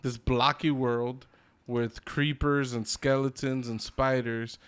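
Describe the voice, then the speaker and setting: A man's voice: medium pitch, flat delivery, slow pace, clean tone. A man co-hosting a casual podcast chat, talking emphatically with slang and swearing. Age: adult with a child. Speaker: podcast co-host.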